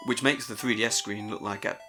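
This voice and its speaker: A man's voice: lowish voice, steady tone, fairly quick, sharp tone, soft background music. A man hosting a casual, unscripted gaming audio log, rambling with hesitant but enthusiastic, informal, sweary delivery.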